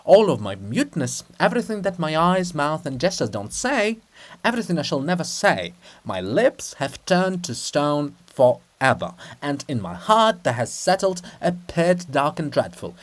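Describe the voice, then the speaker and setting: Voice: mid-range voice, very animated, normal speed. A reader voicing an exasperated, haughty princess in a dramatic farce, complaining melodramatically and imperiously. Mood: anguished, bitter. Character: exasperated, haughty princess statue, long-suffering and scolding. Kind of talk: dramatic stage reading of a farce.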